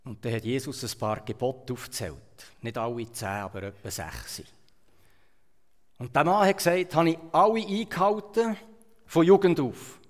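A man with a Swiss German accent delivers a sermon as a storyteller, rising to loud emphasis and then dropping to quiet asides. His voice sits at an ordinary middle pitch. The sound carries a long reverb, as if from a church hall. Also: moderate pace. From Switzerland. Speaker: preacher.

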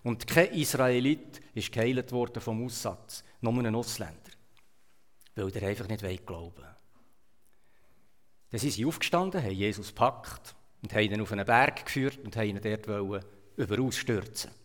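A man from Switzerland with a Swiss German accent, preaching a sermon in a storytelling manner, swinging between loud emphasis and quiet asides. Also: on the low side, normal speed. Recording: reverberant hall.

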